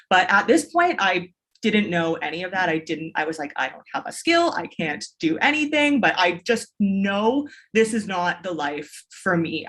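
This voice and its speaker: A woman, a business mentor, telling her story as a podcast guest in an enthusiastic, long-winded way with restarts. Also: on the low side. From Canada. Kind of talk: casual interview storytelling.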